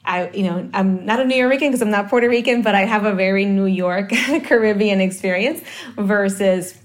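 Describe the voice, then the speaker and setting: A woman's voice: mid-range voice, normal speed. A woman from the Dominican Republic talking about marketing in a casual conversation, thinking aloud with many fillers and restarts. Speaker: marketing guest.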